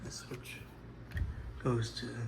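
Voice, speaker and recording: A man with a cold and a raspy voice, rambling and apologizing as he shows the rooms of his house in a casual home tour, sometimes dropping to a near whisper. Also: takes it slowly, noisy background. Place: inside a house, moving from room to room.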